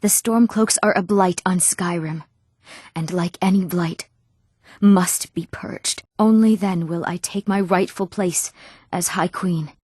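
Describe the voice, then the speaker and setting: A woman acting an eager, earnest young woman in a fantasy video game, speaking her lines clearly and a little theatrically. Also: fairly low pitch, fairly even intonation, unhurried, a little mellow, no echo, studio quality. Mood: formal, resolute. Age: young adult. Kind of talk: scripted fantasy game dialogue.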